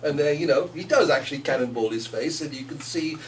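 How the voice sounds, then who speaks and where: A man's voice: fairly high pitch, fairly quick. A man giving a live poetry reading in a theatrical, playful way, with chatty asides to listeners. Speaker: poet reading own work. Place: gallery reading in front of listeners. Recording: noisy room, distant microphone.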